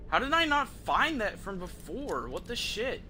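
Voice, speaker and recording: Guy streaming a video game, really bright punchy voice, sweary slangy chat, bit of noise behind him. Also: sits high, big pitch swings, measured pace. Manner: profane, slangy. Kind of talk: casual gameplay commentary to stream viewers.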